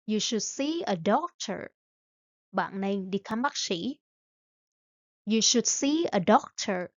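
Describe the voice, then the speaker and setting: Slow, deliberate woman drilling phrases. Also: mid-range voice, expressive, no echo, lo-fi sound. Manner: says each phrase several times, slow and evenly paced, with short translations in between. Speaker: English teacher.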